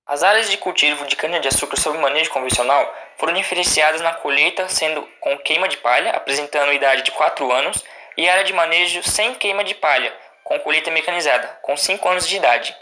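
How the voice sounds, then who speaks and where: Bright-voiced Brazilian man reading formally. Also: a little high, fairly quick, rough recording. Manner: formal, recited reading with occasional stumbles. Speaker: student presenter. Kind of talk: scripted academic presentation read aloud.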